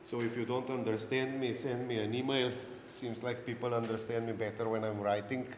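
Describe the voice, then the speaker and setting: A man's voice: medium pitch, level tone, fairly quick. Middle aged guy w slovenian accent doing a tech talk, echoey conference room, some noise behind. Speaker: conference speaker and technical instructor. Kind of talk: technical conference lecture. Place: indoor conference breakout room. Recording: roomy echoey hall, clear background noise.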